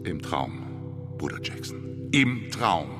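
Pompous preacher in long reverb. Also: male voice, low-pitched, big pitch swings, slow, music in the background, full character voice. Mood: grandiose, indignant. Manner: preacherly, theatrical, exclamatory. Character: pompous Harlem reverend, grumpy at being woken. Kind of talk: German radio crime drama acting.

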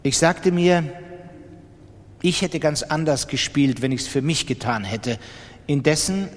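A man's voice: a little high, a little slow, lightly acted. Man playing a restless gambler in a reverberant hall. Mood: uneasy, feverish. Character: restless male gambler, disdainful, superstitious, confessing his fevered observations. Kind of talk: literary radio drama monologue.

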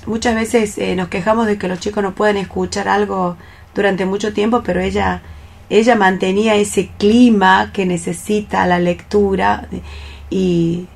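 A woman's voice: mid-range voice, steady tone, moderate pace, creaky. A woman chatting reflectively on radio, often repeating words as she searches for the next phrase. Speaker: radio programme co-host. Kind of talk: casual, reflective radio conversation. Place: radio programme conversation.

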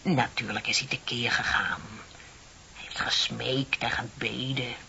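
Husky, gushing, lovelorn middle-aged woman. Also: very deep voice, steady tone, unhurried, sharp tone, whispered, exaggerated voice. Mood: earnest, overwrought. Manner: breathy, dramatic, effusive confiding with sudden swells. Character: gushing, romantically deluded unmarried middle-aged woman confiding her affairs. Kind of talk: Dutch radio play acting. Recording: dull, lo-fi radio play recording.

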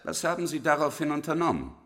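An actor playing a formal Victorian public prosecutor in a German radio drama, declaiming and probing with theatrical authority. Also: male voice, fairly high pitch, very animated, fast, noisy background.

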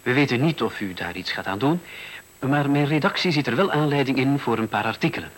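A man acting in a Dutch radio play as a polite newspaper reporter who questions in a measured, probing way. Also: mid-range voice, expressive, a little hiss or hum, lightly acted.

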